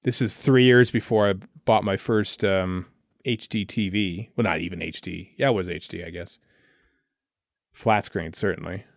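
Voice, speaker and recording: A man with a lowish voice talks casually as a podcast co-host. He rambles and thinks aloud through his commentary, recorded close and dry without room sound. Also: normal speed, gravelly, dry recording. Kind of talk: casual film commentary chat.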